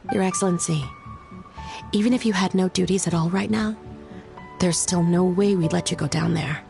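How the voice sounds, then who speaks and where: A woman's voice: fairly low pitch, normal speed, creaky, darkish tone, soft background music. A woman playing a serious, dutiful military aide in a fantasy game, formal, earnest and deferential. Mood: firm, respectful. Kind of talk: scripted fantasy game acting.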